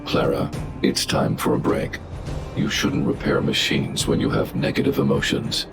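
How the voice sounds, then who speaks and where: A man's voice: moderate pace, lightly acted. A man voicing a huge, stern robot guardian in a video game, speaking in clipped, formal, coldly analytical phrases. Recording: game music behind the voice.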